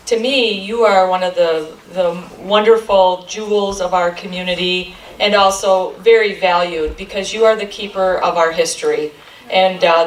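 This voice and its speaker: A woman's voice: medium pitch, far from the mic, slight background noise. A middle-aged woman from Michigan, a chamber of commerce president giving a friendly, rambling talk to a local group, with many ums. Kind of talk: informal presentation to a local group. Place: meeting room. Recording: echoey room recorded from afar.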